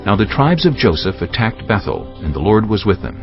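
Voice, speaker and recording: Very deep male scripture narrator. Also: sing-song, fairly quick. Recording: dull lo-fi, music behind. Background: music.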